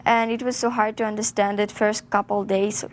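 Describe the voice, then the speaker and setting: A teenage girl with a Ukrainian accent answers informally in a news interview. Her pitch is average for a female voice, with faint room noise around her. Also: level tone, normal speed. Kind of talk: informal news interview in non-native English.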